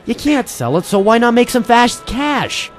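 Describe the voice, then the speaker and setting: A man's voice: very high voice, sing-song, normal speed, soft background music. A man playing a persistent, wry ex-Marine private eye in an old-style detective radio drama, hardboiled and informal, narrating and talking in character. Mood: coaxing, wry. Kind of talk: 1940s detective radio drama, first-person narration and dialogue.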